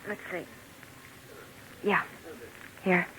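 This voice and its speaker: An actress playing a guarded, defiant young American café owner, curt and defensive, in 1940s radio noir style. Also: female voice, very slow, fairly bright tone. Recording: noisy, hissy 1940s radio recording.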